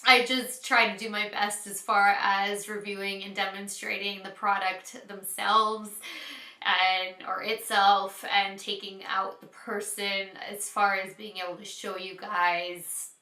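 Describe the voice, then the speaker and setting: A woman's voice: medium pitch, flat delivery, a little slow, bright, forceful tone. A woman beauty vlogger with a congested, nasal head-cold voice, chatting casually to her viewers in an apologetic, explanatory way. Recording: roomy, echoey sound.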